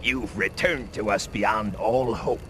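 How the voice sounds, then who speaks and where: A man's voice: lowish voice, takes it slowly. A man playing a solemn clan elder in a fantasy game cutscene, in noisy game audio.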